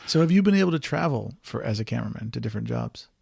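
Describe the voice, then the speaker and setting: Man, podcast host, gravelly, dry close sound, friendly explainer. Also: medium pitch, expressive, racing, a little mellow, no echo. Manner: friendly, chatty, explains things for listeners. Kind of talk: casual interview.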